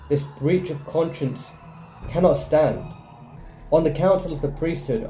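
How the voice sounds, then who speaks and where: A man's voice: mid-range voice, warm tone. A man hosting a gaming video, reading game text aloud and adding casual commentary. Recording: echoey room sound. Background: faint game music.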